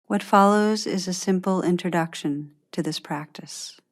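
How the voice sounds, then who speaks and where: A woman guiding a meditation in a gentle, unhurried, soothing manner, giving calm instructions with long pauses. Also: on the low side. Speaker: meditation teacher guiding a practice. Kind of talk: guided meditation, scripted instruction.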